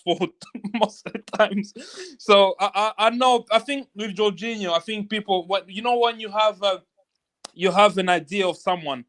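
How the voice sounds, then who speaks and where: A man's voice: high voice, fairly bright tone. A man making an earnest case in a casual fan debate, repeating himself as he starts. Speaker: podcast panelist.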